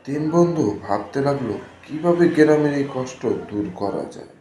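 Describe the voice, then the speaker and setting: A man's voice: on the high side, animated, normal speed, warm tone, reverberant, slight background noise. A man narrating a scripted cartoon story.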